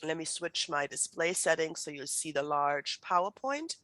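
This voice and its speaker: A woman's voice: deep voice, expressive, normal speed, fairly bright tone, dry recording. A middle-aged woman from Germany, German native, giving a chatty online writing workshop with many fillers and restarts. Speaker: writing instructor. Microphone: video call.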